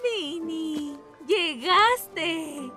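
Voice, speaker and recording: A woman's voice: extremely high pitch, expressive, extremely slow, full character voice. A woman voicing a keen, bossy lady in a children's cartoon, coaxing and insistent in her delivery. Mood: excited. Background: faint cartoon music.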